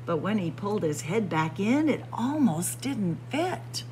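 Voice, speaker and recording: A woman reading a picture book aloud as a storyteller, in a theatrical, deliberate way. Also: mid-range voice, big pitch swings, warm tone, a little hiss or hum, rough recording. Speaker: library storyteller. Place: indoor library room with bookshelves.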